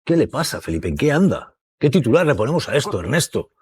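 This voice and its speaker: A man's voice: mid-range voice, sing-song, fast talker, slightly rough. Man moderating a political talk show. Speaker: host. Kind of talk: moderating a TV debate.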